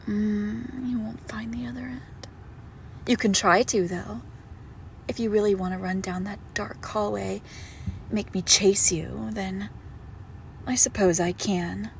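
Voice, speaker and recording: A monstrous girlfriend, played by a woman, speaks very slowly with a creaky edge, sweetly menacing and possessive. Her phrasing is intimate and condescending, like a horror roleplay for one listener. There is faint noise behind her. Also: medium pitch. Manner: condescending, darkly affectionate, drawn-out deliberate phrasing. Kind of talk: intimate horror roleplay audio, slow and hushed.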